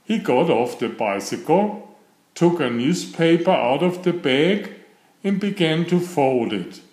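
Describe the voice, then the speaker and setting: Slow, expressive male storyteller in echoey room. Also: fairly high pitch. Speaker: storybook narrator. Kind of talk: children's read-aloud narration.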